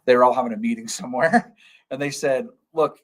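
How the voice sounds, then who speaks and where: A man's voice: mid-range voice, brisk. Casting: male dentist host, plain-spoken live-stream explainer who repeats terms. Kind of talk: casual explanatory chat.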